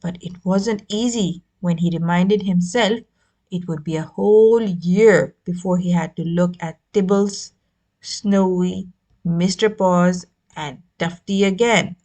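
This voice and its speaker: A woman reading a children's novel aloud as an expressive narrator. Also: on the low side, slow pace. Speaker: audiobook reader. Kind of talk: expressive scripted story narration.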